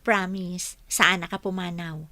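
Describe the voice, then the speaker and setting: A woman's voice: on the low side, fairly even intonation, unhurried, bright, forceful tone, no echo. A woman playing a coy, teasing young sweetheart in an Ilocano radio comedy drama, with an Ilocano accent.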